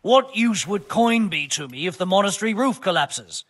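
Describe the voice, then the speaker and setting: A man's voice: sits high, lively intonation, normal speed, a bit bright. A man playing a blunt, stubborn, money-minded medieval builder in a video game, haggling grudgingly. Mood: dismissive. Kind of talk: video game cutscene acting.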